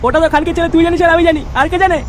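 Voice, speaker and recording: A man voicing an irascible, foul-mouthed parent in a comedy cartoon, scolding and bullying in a pitched-up voice. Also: steady tone, rapid-fire, fairly bright tone. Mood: angry, demanding. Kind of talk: exaggerated comedy cartoon acting. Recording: noisy track with street and vehicle ambience.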